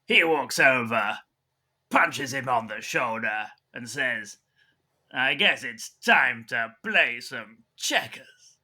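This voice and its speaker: A man's voice: mid-range voice, lively intonation, takes it slowly, bright, forceful tone, heavily acted. A man playing an earnest, over-the-top action hero with a melodramatic delivery in improvised audio comedy. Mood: dramatic, triumphant.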